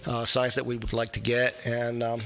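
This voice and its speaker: An older man on a resident committee speaking informally at a stand microphone in a community meeting room, pausing often with fillers. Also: medium pitch, completely flat delivery, a bit bright, slight background noise. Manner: halting, with many uh fillers. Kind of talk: informal remarks at a board meeting.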